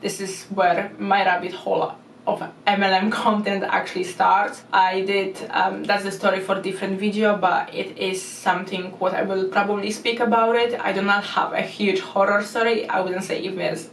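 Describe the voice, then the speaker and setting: A woman with a Polish accent talks to camera in an earnest, casual way, restarting often as she works through her non-native phrasing. Her pitch stays flat and even. She sits back from the mic in an echoey room, with a faint hum behind her. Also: moderate pace, far from the mic. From Poland. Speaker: YouTuber. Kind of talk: casual explainer monologue. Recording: roomy echo, speaker away from the mic.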